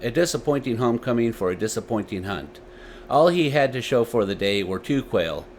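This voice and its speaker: A man's voice: medium pitch, expressive, brisk, a little hiss or hum. A man hosting a story series and narrating tales dramatically into a desktop microphone. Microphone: desktop USB microphone.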